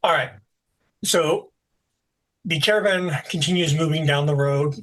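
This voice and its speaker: A man running a tabletop game as game master, narrating scenes in a relaxed, explanatory way with frequent fillers. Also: fairly high pitch, unhurried, poor audio.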